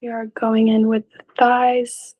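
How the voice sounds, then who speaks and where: A woman leading a guided meditation in a gentle, calm, unhurried way, leaving long pauses between short phrases. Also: on the high side, phone-quality sound. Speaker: meditation teacher.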